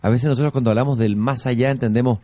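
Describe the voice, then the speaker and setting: Chilean guy hosting a reflective chat show, literary and expressive, dark soft-edged voice, very dry close sound. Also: medium pitch, fast, no echo. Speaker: interview show host. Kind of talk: reflective spoken introduction and conversational interviewing.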